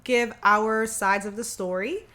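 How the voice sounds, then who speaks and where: A woman's voice: medium pitch, a little slow. Slightly nasal young woman co-hosting. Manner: lively, laughs a lot. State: recovering from allergies. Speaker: podcast co-host. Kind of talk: casual chat.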